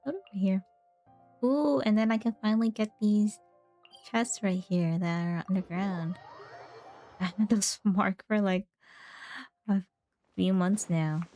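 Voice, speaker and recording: A woman's voice: medium pitch, measured pace, pauses often, dark tone, faint music behind. A woman giving casual commentary as she plays a game. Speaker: game streamer.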